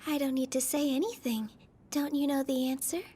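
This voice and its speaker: An actress playing a sweet-voiced, coldly manipulative young villainess in a dramatic anime dub, soft and coy with menace. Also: female voice, sits high, steady tone, brisk, close to an everyday voice. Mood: coy, menacing.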